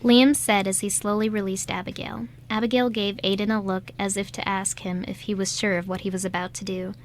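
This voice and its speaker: A woman's voice: medium pitch, flat delivery, a little fast. A woman reading scripted third-person narration as the narrator of an amateur radio drama. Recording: light background noise and ambience.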